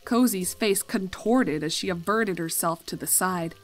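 A woman reading a fan fiction story aloud as an expressive narrator. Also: on the low side, measured pace, soft background music, low-quality recording.

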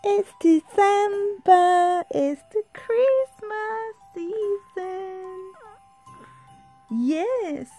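A woman in her thirties chatting casually to camera and to her young children in a home vlog, sing-song and animated with the kids. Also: extremely high pitch, extremely slow, faint music behind. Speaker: vlogger and mother. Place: indoors at home, kitchen and bedroom.

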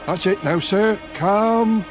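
A man's voice: sits high, takes it slowly, over music. A man voicing an earnest, bossy male rescuer in a cartoon, insistent and coaxing. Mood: urgent coaxing. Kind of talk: cartoon voice acting.

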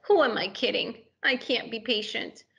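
A woman voicing an impatient, excitable, whiny caterpillar in a storybook, pestering and exclaiming with drawn-out cries. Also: a little high, creaky, muffled recording, crowd noise. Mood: whiny, restless.

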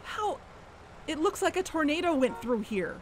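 A woman's voice: high-pitched, very animated, moderate pace, a little hiss or hum. A woman playing a dogged, impatient investigator in a podcast audio drama. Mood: incredulous. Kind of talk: audio drama acting with narration.

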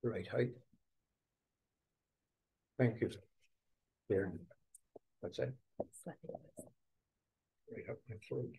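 An older man from Manitoba, Canada, a poet speaking informally and reflectively at a reading, pausing between short phrases. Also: mid-range voice, creaky, mellow, low-quality recording. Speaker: featured poet. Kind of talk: informal explanatory talk at a poetry reading.

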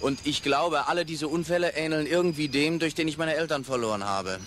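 An actor in a radio play voicing a haunted, grieving clairvoyant who speaks gravely and in solemn, poetic phrases. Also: male voice, a little high, brisk, a bit bright, a little hiss or hum, close to an everyday voice. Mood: sombre, haunted. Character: haunted, grieving male small-time clairvoyant.

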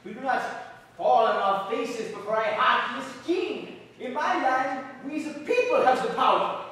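A man's voice: very high-pitched, a little slow, pushed and bright, exaggerated voice. An actor playing a fiery male revolutionary in disguise as a duke, ranting scornfully in theatrical declamation. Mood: proud. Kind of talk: audio drama acting. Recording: echoey hall, voice from far off.

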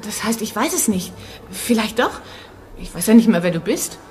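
A woman's voice: medium pitch, animated, moderate pace, darkish tone, soft background music, lightly acted. An actress playing a confused, wary young woman held captive in a radio play, guarded and insistent. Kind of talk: German radio play acting.

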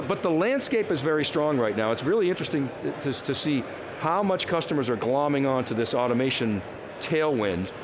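A man's voice: mid-range voice, noisy background. An older man, co-host and tech analyst, talking through market trends in a live trade show chat, restarting phrases as he thinks aloud. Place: TV set on a busy convention floor.

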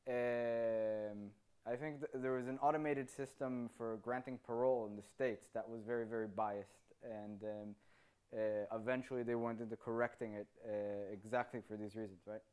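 A man in his thirties, native Hebrew speaker, fields questions from an audience in a meeting room. He talks into a handheld mic, animated and gesturing, tagging his points with a quick "right?". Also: mid-range voice, smooth. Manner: animated, gestures with free hand, tags sentences with "right?". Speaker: conference speaker. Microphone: handheld microphone.

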